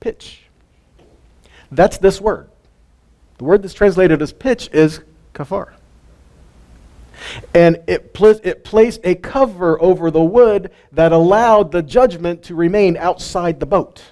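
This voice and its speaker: Guy leading a casual bible study, slightly higher voice, keeps tossing questions at the group. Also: takes it slowly, long pauses, warm tone. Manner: conversational, asks the audience questions, chuckles between points. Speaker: teacher of a weekly Torah study. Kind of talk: informal Bible teaching to a live group.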